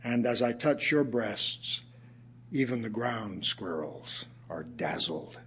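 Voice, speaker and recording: An actor playing an ailing middle-aged writer, reciting his poems reflectively in an audio theatre piece, with a husky voice and emphatic pauses. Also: lowish voice, animated, a bit bright, a little hiss or hum. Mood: tender, sensual. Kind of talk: dramatic audio theatre poetry reading.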